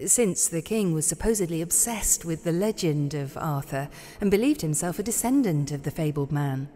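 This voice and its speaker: Polite lady curator in an audio play, bit hesitant, mellow tone, echoey hall. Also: low voice, lively intonation. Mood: helpful. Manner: halting, deferential, explanatory. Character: polite, hesitant, helpful woman museum curator. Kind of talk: audio drama acting. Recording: echoing hall reverb.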